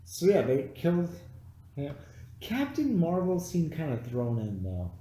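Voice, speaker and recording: Animated, mellow-voiced man in an echoey room. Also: a little high, unhurried. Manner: loose, animated retelling, swears freely. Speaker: podcast co-host. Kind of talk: casual film review chat.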